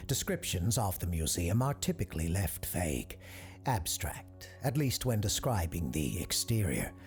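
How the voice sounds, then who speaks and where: A low male voice narrates an eerie audio drama in a grave, deliberate way. The delivery is measured yet moves widely in pitch. Faint music plays underneath. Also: sing-song, exaggerated voice.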